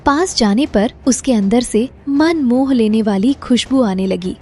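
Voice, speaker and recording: A woman's voice: fairly high pitch, measured pace, a little mellow, soft background music. A woman reading scripted narration for a cartoon moral story as its narrator.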